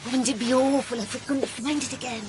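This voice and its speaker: A woman's voice: high-pitched, fairly even intonation, brisk, noisy background, close to an everyday voice. An actress playing a passionate young woman in love in a radio drama, intimate and emotional as she speaks and narrates her romance. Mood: nervous, intimate. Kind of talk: radio drama acting with first-person narration.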